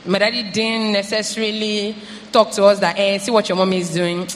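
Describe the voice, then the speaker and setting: A young adult telling a personal family story, animated when quoting family members. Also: medium pitch, moderate pace, slight background noise. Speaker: panel participant. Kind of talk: casual sharing. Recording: hall reverb with faint ambience.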